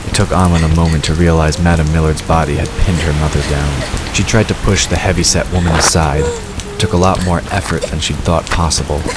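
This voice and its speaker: A man's voice: a bass voice, steady tone, brisk, loud music behind the voice. A man narrating a scripted fantasy story as the narrator of an audio drama.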